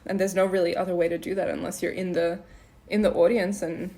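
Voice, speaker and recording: A woman's voice: lowish voice, level tone, fast talker, mellow. A woman hosting a casual interview. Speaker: podcast host.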